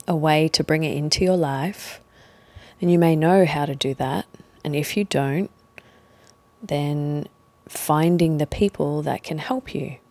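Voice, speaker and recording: A woman leading a guided meditation, speaking gently and leaving long pauses between short phrases. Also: low voice, no room sound. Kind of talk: guided meditation, slow soothing instruction.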